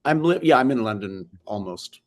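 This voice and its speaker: A man's voice: mid-range voice, animated, moderate pace. An older American man, a film director, talking casually on a video call and often restarting his sentences. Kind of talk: casual interview chat.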